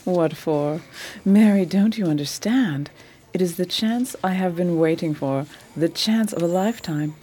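Mellow, determined lady detective. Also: lowish voice, unhurried, breathy edge. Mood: excited, determined. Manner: purposeful, refined, authoritative. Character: eager, determined, commanding lady detective of high standing. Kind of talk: radio drama acting. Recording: faint background noise.

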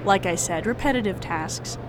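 A woman playing a folksy, brisk, matter-of-fact care center receptionist in an audio drama. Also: medium pitch, noisy background, natural voice. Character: folksy, brisk female front-desk worker at care center.

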